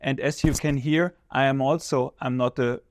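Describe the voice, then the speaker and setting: A man with a German accent, a visiting professor speaking in English as a second language, explaining things in a measured way and searching for words as he talks. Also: medium pitch, steady tone, dry recording. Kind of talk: conversational interview answers.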